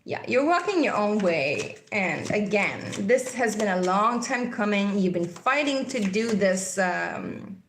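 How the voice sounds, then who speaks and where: Swedish-accented woman, casual tarot reader, meandering and repetitive, mid pitch, roomy echo. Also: expressive, measured pace, few pauses, a little hiss or hum. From Sweden. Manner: meandering, intuitive, repeats key phrases. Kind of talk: casual tarot card reading.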